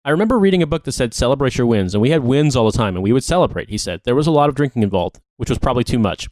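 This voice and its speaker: Guy on a podcast reading an article out, pretty fast, dry sound no room. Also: mid-range voice, expressive, darkish tone, dry recording. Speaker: podcast co-host. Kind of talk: reading an article aloud with brief reactions.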